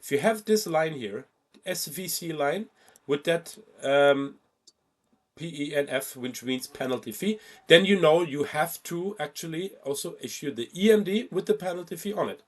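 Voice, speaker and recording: A man giving an informal step-by-step software tutorial as an instructor, halting at times and stressing key points by repeating them. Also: fairly high pitch, animated. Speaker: travel booking software instructor.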